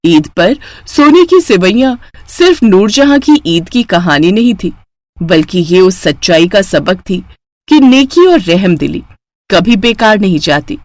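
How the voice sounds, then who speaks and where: A woman's voice: mid-range voice, a little mellow, no room sound. A woman reading a scripted moral story for children as the storyteller.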